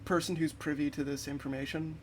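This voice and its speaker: A man's voice: a little high, flat delivery, fairly quick. A man livestreaming in casual chat, informal and a little hesitant in how he puts things. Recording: clearly noisy background.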